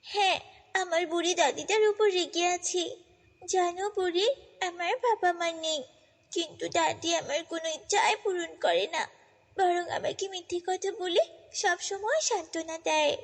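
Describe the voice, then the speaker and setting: A woman's voice: very high voice, normal speed, big-room sound. A woman voicing a headstrong, emotional orphan girl in a children's fairy-tale cartoon, earnest and exclaiming. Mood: hurt, sulky.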